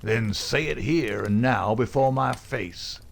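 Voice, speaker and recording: A man with a gravelly voice playing a cool, cocky young outlaw who talks calmly and defiantly. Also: lowish voice, moderate pace. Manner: calm, coolly defiant.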